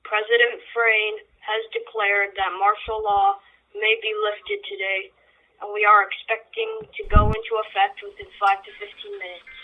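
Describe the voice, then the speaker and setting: A young man playing a radio news broadcaster, reading a bulletin in a halting, informal live delivery. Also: high-pitched, very animated. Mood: newsy, hurried. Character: male New York AM radio news broadcaster. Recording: noisy, tinny phone-like radio.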